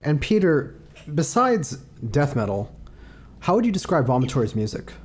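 A man hosting a podcast interview, asking questions in polite, measured phrasing. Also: fairly high pitch, big pitch swings, slight background noise.

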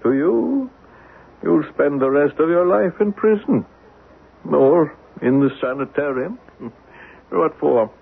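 An actor in an old-time radio drama playing a taunting alien schemer posing as a human, mocking and defiant. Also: male voice, a little high, lively intonation, slow, creaky, long pauses, husky, narrow, tinny audio, heavily acted. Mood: arguing, pressing. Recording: thin band-limited radio broadcast recording.

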